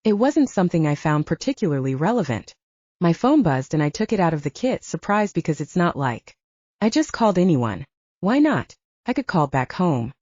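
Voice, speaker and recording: A woman narrates an audiobook in a soft-edged, mellow voice. Her pitch moves in an animated way through the scripted prose. The recording is close and dry, with a somewhat dull top end. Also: dry recording.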